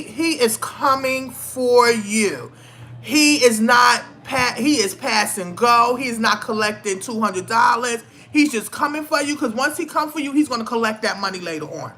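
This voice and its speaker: Female vlogger, middle-aged. Somewhat high, sharp bright tone; chatty, opinionated, leans hard on repeated phrases. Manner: conversational, emphatic, repeats phrases for stress. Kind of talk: casual opinionated commentary.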